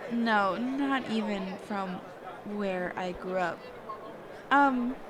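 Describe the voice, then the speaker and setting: A reclusive female mage teacher, acted by a woman for a fantasy roleplay audio, speaks in a fairly high voice at a very unhurried pace. She is socially awkward and evasive, hesitating with hums and trailing pauses. Some noise sits behind the voice. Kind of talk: character roleplay audio, one side of a dialogue.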